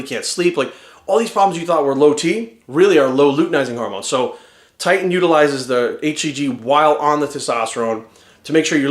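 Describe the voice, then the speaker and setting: A man in his forties giving an energetic, rambling testimonial to camera. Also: medium pitch, roomy sound. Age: 40s.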